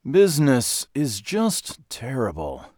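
A man's voice: fairly low pitch, sing-song, darkish tone, dry recording, studio quality, heavily acted. Man putting on a moaning, gloomy undertaker. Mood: glum, exasperated. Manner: moaning, drawn-out complaint. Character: gloomy, put-upon, stocky male undertaker.

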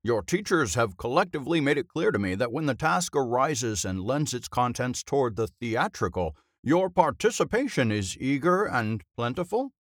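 A man's voice: mid-range voice, sing-song, moderate pace, hardly any pauses, dry recording, clean studio sound, voice pushed up, natural voice. A man voicing a pompous male headmaster in an audiobook, speaking with wordy, old-fashioned formality. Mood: officious.

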